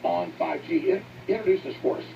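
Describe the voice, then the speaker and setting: Gravelly, fervent male talk show host. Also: a little high, wildly swooping pitch, brisk, distant mic, over a noisy crowd. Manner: fervent, exhorting. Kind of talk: impassioned political talk show monologue. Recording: noisy, dull radio playback picked up from afar.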